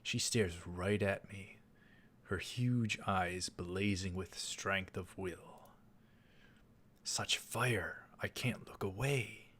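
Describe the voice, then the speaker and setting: A man's voice: low-pitched, drawn-out words, a bit bright. A man reading a visual novel aloud as a let's player, dramatizing the narration. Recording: music behind the voice. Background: game music.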